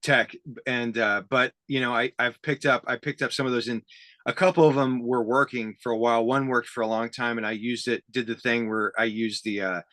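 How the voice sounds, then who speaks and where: Bright-voiced man chatting casually, dry sound. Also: medium pitch, fairly even intonation, a little fast, no echo. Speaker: interview host. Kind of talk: casual conversational interview about tape gear.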